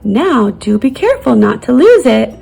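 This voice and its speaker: A woman voicing a gentle, comforting mother raccoon who reassures in a children's storybook read-aloud. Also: on the high side, sing-song, moderate pace, creaky, husky, soft background music, exaggerated voice. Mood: loving.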